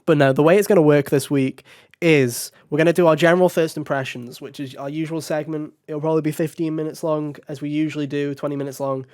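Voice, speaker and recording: A man with a raspy, slightly hoarse voice hosts a casual gaming podcast, joking and rambling. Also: on the high side, darkish tone. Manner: joking, self-mocking, rambling. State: hoarse, recovering from covid. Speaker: podcast host.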